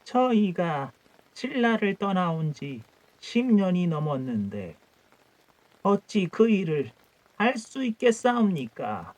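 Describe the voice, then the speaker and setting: A man's voice: high-pitched, very animated, slow, a little mellow, no room sound, voice pushed up, heavily acted, low-quality recording. A man voicing a humble, deferential court subject of old Korea in a storybook tale, speaking in formal archaic honorifics. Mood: humble, pleading.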